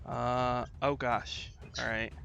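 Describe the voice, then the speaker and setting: Game master, bright voice, casual improvised narration, tabletop game, light music behind. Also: mid-range voice, flat delivery, slow, vocal fry, muffled recording. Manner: casual, conversational.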